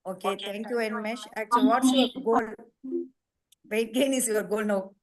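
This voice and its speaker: A woman hosting a nutrition program on a video call, talking in a halting, repetitive way with emphatic lists. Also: a little high, steady tone, rough recording. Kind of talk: casual online meeting talk.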